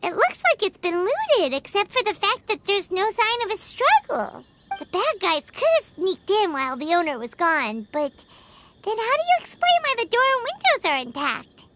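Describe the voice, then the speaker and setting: A woman voicing a tiny, excitable female companion creature in a video game, who talks about herself in the third person. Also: very high-pitched, moderate pace, heavy background noise. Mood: puzzled. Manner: speaks of herself in third person, dramatic and jumpy. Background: game music.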